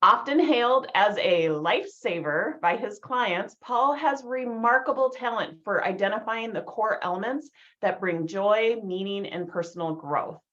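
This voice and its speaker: A woman's voice: mid-range voice, unhurried, few pauses, a bit bright. Woman host, podcast interview, eager chatty voice, slightly muffled sound. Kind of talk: scripted introduction, then casual interview chat. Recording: slightly dull audio.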